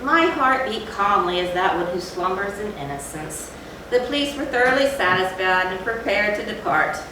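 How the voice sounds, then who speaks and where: A woman's voice: medium pitch, expressive, normal speed, natural voice. A woman playing a guilt-ridden, gloating murderer in a theatrical, formally worded stage reading of Gothic horror. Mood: gloating relief. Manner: theatrical, emphatic, old-fashioned formal diction. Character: gloating, guilt-ridden murderer confessing, outwardly calm, inwardly frenzied. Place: theatre stage, recorded from a distance. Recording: echoey hall, distant mic, noisy.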